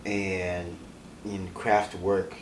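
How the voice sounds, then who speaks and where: A young man from Ghana with a very low voice answers questions softly and haltingly, pausing on ums. He is heard from a distance in an echoey room. A clear hiss sits under the recording. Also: level tone. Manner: soft-spoken, hesitant, many ums. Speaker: guest artist. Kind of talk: interview answers.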